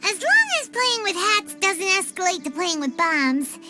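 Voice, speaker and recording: A woman voicing a tiny, chirpy, childlike fairy companion in an animated video game. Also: measured pace. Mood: chirpy, encouraging. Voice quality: squeaky, childlike. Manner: speaks of self in third person. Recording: loud music behind.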